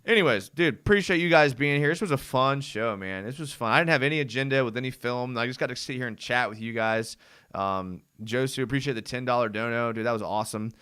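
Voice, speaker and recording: A young man with a smooth, clean voice at a middling pitch, chatting loosely on a live stream. He rambles in a relaxed way, full of "dude" and "I mean," and his voice sounds worn down from a long session of talking. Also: fast talker. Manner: laid-back, rambling, frequent "dude" and "I mean". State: voice going hoarse from long talking. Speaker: host of a live fan show. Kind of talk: casual live-stream chat.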